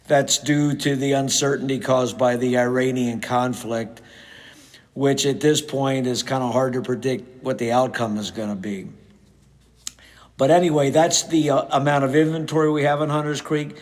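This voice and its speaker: Middle-aged male podcast host, mid-range pitch, casual and chatty, a touch hoarse and nasal from a cold. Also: steady tone, normal speed. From United States. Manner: conversational, with frequent fillers. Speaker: podcast host, real estate agent. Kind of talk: casual solo podcast talk.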